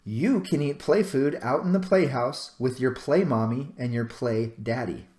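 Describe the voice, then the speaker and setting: A man's voice: mid-range voice, a little slow, a little mellow, roomy sound, lightly acted. A man reading a children's storybook, voicing a kind but firm, plain-spoken farm mother. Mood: wry, affectionate.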